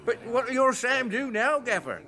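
A nosy village gossip pries in a high, piercing voice. The delivery is rustic, teasing and very animated, in radio drama style. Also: male voice, moderate pace, full character voice.

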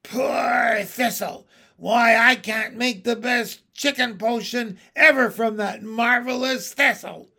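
A shrill old hag of a witch, played by a male narrator for a storybook. She is vengeful and taunting, dragging out her words in a high, sharp voice. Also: exaggerated voice. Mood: aggrieved. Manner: slow, drawn-out, taunting.

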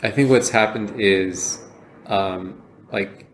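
Reflective young man, low voice. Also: slow, slight background noise. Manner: reflective, many fillers and restarts. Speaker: podcast co-host. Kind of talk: casual chat.

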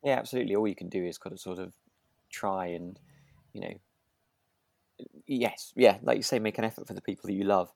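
A man's voice: lowish voice, fairly quick, gravelly, pauses often, darkish tone, no echo, clean studio sound. A man with a British accent chatting casually as a podcast co-host, hesitantly repeating words while thinking aloud. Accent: British English. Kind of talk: casual chat, discussing a radio drama.

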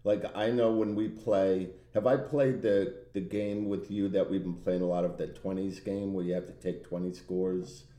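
A man co-hosting a podcast in casual, bantering chat with a loud, animated voice and a roomy, echoey sound. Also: sits low, moderate pace, hardly any pauses. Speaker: podcast co-host. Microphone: own podcast microphone.

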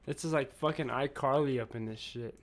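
A man's voice: mid-range voice, brisk. A man co-hosting a casual podcast, rambling and swearing freely in loose chat. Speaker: podcast co-host.

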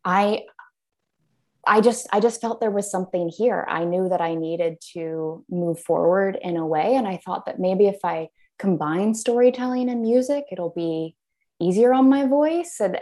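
A woman guest on a podcast, telling a personal story with many fillers and restarts, now and then laughing softly at herself. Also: on the low side.

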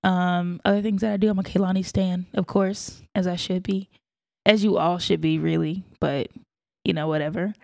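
A woman's voice: on the low side, fairly even intonation, moderate pace, gravelly, darkish tone, no echo, clean studio sound. A woman in her mid-twenties, co-hosting a podcast in a chatty, giggly, playful way. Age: 20s. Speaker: podcast co-host. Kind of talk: casual chat.

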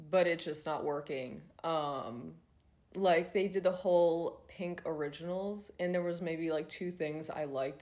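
Congested, low-voiced young woman. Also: darkish tone. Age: 20s. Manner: chatty, filler-heavy, swears freely. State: congested, nasal. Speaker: podcast host. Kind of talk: casual solo podcast chat.

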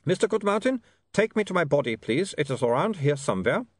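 A man's voice: a little high, big pitch swings, a little fast, dry recording, studio quality, voice pushed up. A man voicing a polite, fussy, long-suffering foreign vampire in stilted, formal phrasing for a story. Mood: polite, embarrassed.

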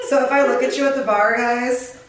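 A young woman co-hosts a loose, slangy chat with a raspy, flat-toned voice that sits a little high. She sits back from the mic, and the room is strongly echoey. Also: brisk, recorded from a distance, crowd noise. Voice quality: raspy, low monotone. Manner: blunt, slangy and laughing. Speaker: podcast co-host. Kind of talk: casual chat.

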